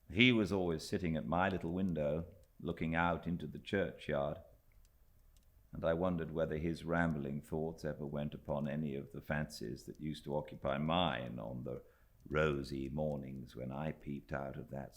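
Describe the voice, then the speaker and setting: Very deep, creaky British male storyteller. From Britain. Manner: measured, expressive storytelling with deliberate pauses. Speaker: audiobook narrator. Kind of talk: literary audiobook reading of a classic novel.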